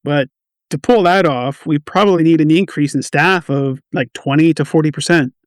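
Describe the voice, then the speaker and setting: A man's voice: fairly high pitch, normal speed, no room sound, clean studio sound, close to an everyday voice. A man playing a stressed, defensive quality assurance manager in a radio play, touchy and wry. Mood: wary. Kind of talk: amateur radio play dialogue.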